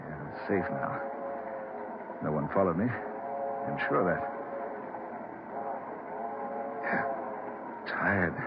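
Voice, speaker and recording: A man's voice: rumbling low, heavily acted. An actor playing a guilty, panicky man on the run in a 1940s radio horror drama, muttering to himself and pleading frantically. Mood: weary relief. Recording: noisy, dull 1940s radio recording.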